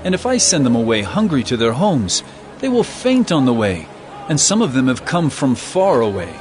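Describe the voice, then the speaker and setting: A man's voice: mid-range voice, very animated, normal speed, natural voice. An actor playing an earnest male religious teacher in a dramatized scripture reading, with emphatic delivery. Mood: compassionate.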